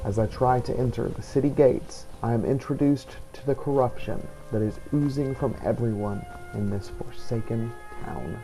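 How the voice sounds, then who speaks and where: A man's voice: mid-range voice, normal speed, gravelly, hardly any pauses, warm tone, poor audio. A man giving scripted, formal first-person storytelling narration in character for a game playthrough. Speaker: let's player narrating in character. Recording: music behind the voice.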